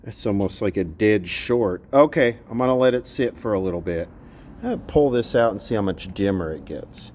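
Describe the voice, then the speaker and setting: A man's voice: lowish voice, expressive, normal speed, a little mellow, a little hiss or hum. A man giving casual, unscripted commentary as he repairs electronics, talking bluntly and thinking aloud in fragments. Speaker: electronics repair video host.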